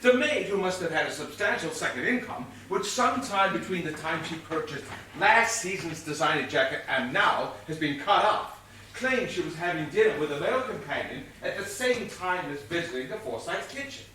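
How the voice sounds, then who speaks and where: An actor playing a bumbling, overconfident police inspector in a comic stage whodunit, blustering and slangy in manner. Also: male voice, on the high side, expressive, normal speed, bright, forceful tone. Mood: self-important summing up. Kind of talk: comic stage play acting. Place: theatre stage, mic far off. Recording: distant, echoey theatre stage recording, faint hiss.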